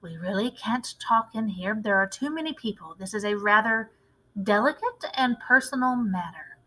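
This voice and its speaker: A woman voicing a determined elderly grandmother in a storybook, tactful and measured but firm. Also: medium pitch, lively intonation, pushed and bright, rough recording. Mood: firm, bristling. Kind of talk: storybook read-aloud character voice.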